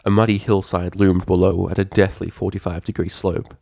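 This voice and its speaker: A man's voice: sits low, level tone, brisk, creaky, a little mellow, no echo. A man reading fan fiction aloud as a scripted, dramatic story narrator.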